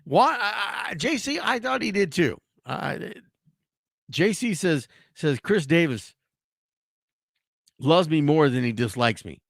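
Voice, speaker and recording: A man hosts a sports talk show in a hoarse voice, his pitch swinging up and down as he rants. He restarts his sentences often. The sound is dry and close. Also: slow, long pauses, no room sound. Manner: animated, ranting, with frequent restarts. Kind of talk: casual sports talk commentary.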